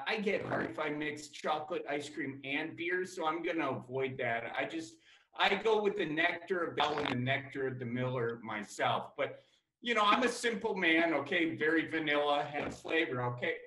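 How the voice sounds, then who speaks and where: A man's voice: fairly high pitch, pushed and bright. A man doing a folksy Wisconsin guy. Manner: folksy, drawn-out, tag questions. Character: folksy Wisconsin man, beer-loving, simple. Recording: echoey room, faint noise.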